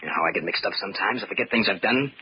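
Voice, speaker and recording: An actor playing a moody young stepbrother in an old-time radio drama, offhand and defensive behind a breezy front. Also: male voice, on the low side, rapid-fire, close to an everyday voice. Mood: evasive. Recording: muffled, noisy vintage radio recording.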